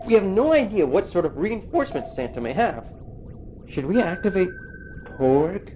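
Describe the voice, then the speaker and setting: A woman playing a dutiful Martian crew officer in a comic audio drama, speaking in a drawn-out way. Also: medium pitch, very animated, highs cut off, soft background music, lightly acted. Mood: cautious.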